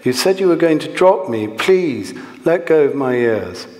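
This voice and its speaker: A man's voice: mid-range voice, steady tone, slow, darkish tone, voice pushed up, close to an everyday voice. A man reading the part of a frightened young boy who pleads and protests in pain, in a literary story. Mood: pained, pleading. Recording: long hall reverb.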